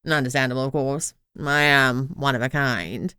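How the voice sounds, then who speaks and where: A woman's voice: extremely low pitch, moderate pace, bright, forceful tone, clean tone, dry recording, studio quality, pitched below the performer's own voice. Woman putting on a posh pompous male talking crown. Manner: pompous, formal.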